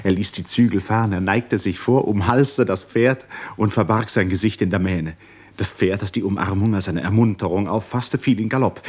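An actor as the grave narrator of a 1950s German radio drama, telling the story in a measured, literary manner. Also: male voice, lowish voice, a little mellow, husky. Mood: solemn.

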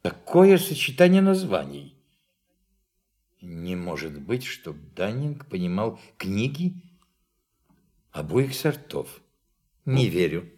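A man's voice: fairly low pitch, sing-song, slow pace, pauses often, darkish tone, exaggerated voice. An actor in a Russian radio play playing a brusque, sceptical, inquisitive male professor who questions and doubts in a peremptory way. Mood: incredulous.

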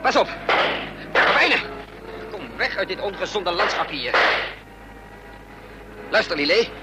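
An actor playing a bold, quick-witted fugitive in a Dutch radio play, urgent and commanding. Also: male voice, high voice, expressive. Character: bold hunted fugitive without memory, quick-witted man of action. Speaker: lead actor and first-person narrator. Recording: noisy radio play recording with vehicle sounds.

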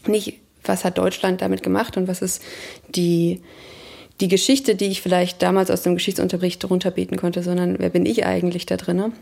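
A woman of about thirty speaks in a reflective interview register. Her voice is strongly creaky and on the dark, mellow side. She picks her words thoughtfully as she gives a personal account. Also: on the low side, level tone, moderate pace. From Germany. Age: 30s.

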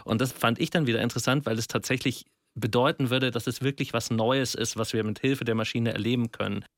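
A man's voice: medium pitch, fairly even intonation, quick pace, no echo. A man co-hosting a casual radio talk in a studio, speaking colloquially and telling things like anecdotes. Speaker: radio show co-host.

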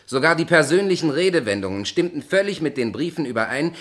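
An actor playing a calm, good-natured young gentleman in a radio play, measured and dryly humorous. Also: on the high side. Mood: explaining. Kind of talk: German radio play acting.

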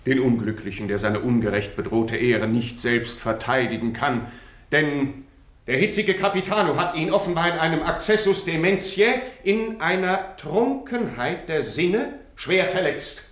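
Eloquent scheming notary, echoing room. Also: male voice, a little high, unhurried, a bit bright. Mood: smoothly pleading. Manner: eloquent, pompous, legalistic. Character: smooth, scheming male notary and advocate. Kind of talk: German radio drama acting. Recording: roomy echo on radio drama.

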